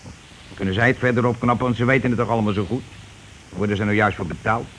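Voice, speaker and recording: Bossy working-class husband played by an actor, noisy recording. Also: male voice, fairly low pitch, fairly even intonation, quick pace, fairly bright tone, natural voice. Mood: scheming, bossy. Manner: insistent, colloquial, explaining impatiently. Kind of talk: radio play acting. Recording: noisy old radio drama recording.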